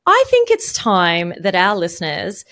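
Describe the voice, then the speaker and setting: A woman's voice: on the low side, wildly swooping pitch, measured pace, fairly bright tone. A woman from Egypt who moved to Australia, co-hosting a health podcast as a dietitian in a conversational style. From Egypt, later moved to Australia.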